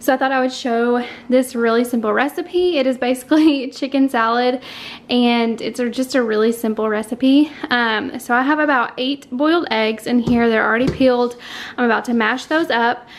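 A young woman with a fairly high voice talks casually through home cooking. She sounds chatty and informal, recorded on a camera in her kitchen with clear clattering noise around her. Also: fairly even intonation, normal speed.

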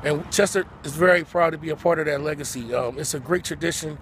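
A man's voice: a little high, fast talker, a bit bright. A man in his forties giving casual interview answers as a youth sports program director. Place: outdoors by a sports complex. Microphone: handheld phone. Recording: outdoor phone video with background noise.

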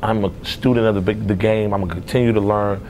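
An American man in his forties talks in a fairly low voice, like a coach being interviewed. His delivery is emphatic, with repeated phrases for stress, over a faint low rumble. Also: fairly even intonation, fast, darkish tone. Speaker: assistant basketball coach. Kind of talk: on-camera interview about his career. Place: indoor athletics office, seated before camera.

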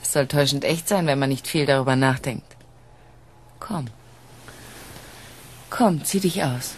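A woman acting a cool, proud, seductive android in an intimate radio drama, with deliberate delivery. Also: very deep voice, a little mellow, a little hiss or hum.

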